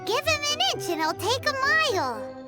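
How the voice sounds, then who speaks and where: A woman voicing a tiny, cheeky fairy girl in a video game, with a squeaky childlike voice and exclamatory manner. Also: sing-song, moderate pace, loud music behind the voice. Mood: incredulous, cheeky. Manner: speaks of herself in third person, exclamatory. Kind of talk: animated video game character acting.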